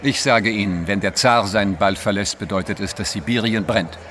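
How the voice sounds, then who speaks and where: An actor playing a confident, flamboyant French journalist with an emphatic manner, in a German audio drama. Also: male voice, lowish voice, normal speed, a bit bright, slight background noise, natural voice. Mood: dramatic, knowing. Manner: emphatic, self-assured.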